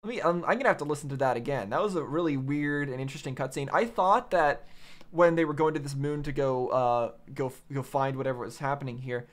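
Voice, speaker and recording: A man's voice: mid-range voice, fast talker. A man giving casual, off-the-cuff reaction commentary, musing aloud in a puzzled, questioning way. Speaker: reaction video host.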